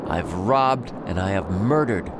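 An actor playing a bereaved husband turned vengeful pirate in a radio drama, speaking in deliberate, menacing speeches. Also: male voice, deep voice, lively intonation, background noise.

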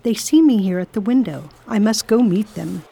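A woman playing a brisk, determined lady traveler in a radio drama, speaking firmly and commandingly. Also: medium pitch, expressive, gravelly, warm tone, husky. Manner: firm, commanding.

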